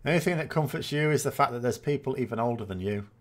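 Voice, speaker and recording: A man's voice: mid-range voice, fast. A man, chatting casually and rambling as a gaming host answering viewer questions, often correcting himself with dry self-mockery. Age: 40s.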